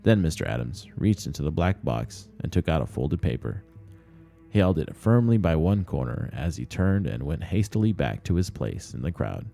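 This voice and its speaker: A man reading a short story aloud as narrator, in expressive scripted storytelling. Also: rumbling low, normal speed, creaky, soft background music. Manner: expressive, acts out dialogue.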